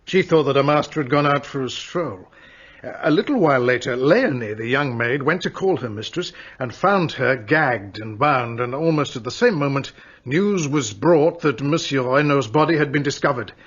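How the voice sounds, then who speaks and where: Police inspector, courteous and businesslike, slightly husky. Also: male voice, medium pitch, animated, normal speed, fairly bright tone. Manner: courteous, official, explanatory. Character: courteous French police inspector, middle-aged man. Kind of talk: radio drama acting. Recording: dull studio audio play.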